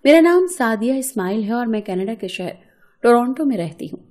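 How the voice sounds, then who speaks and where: A woman's voice: on the high side, expressive, a little fast, darkish tone. A woman reading a scripted, emotional first-person story as its narrator.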